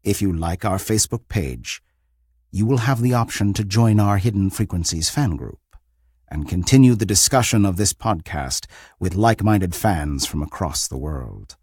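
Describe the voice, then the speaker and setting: A man with a very deep, rough-edged voice hosts an audio drama anthology. His scripted narration is formal and theatrical, swinging widely in pitch, and recorded close and dry. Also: lively intonation, a little mellow, dry recording. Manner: theatrical, formal, deliberate phrasing.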